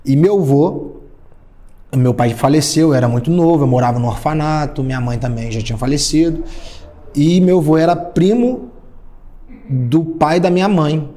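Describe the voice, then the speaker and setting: Relaxed Brazilian man in his thirties. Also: on the high side, moderate pace. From São Paulo state, Brazil. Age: 30s. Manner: reminiscing, relaxed storytelling. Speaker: guest singer. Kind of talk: casual chat.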